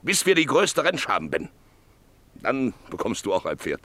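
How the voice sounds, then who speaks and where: A proud rancher father in a radio play, fatherly and full of hope. His mid-pitched voice rises and falls animatedly as he explains things, recorded dry. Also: wildly swooping pitch, normal speed, sharp tone, no echo, full character voice. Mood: proud, hopeful. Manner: confident, fatherly, instructive. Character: proud, hopeful rancher father teasing his young son.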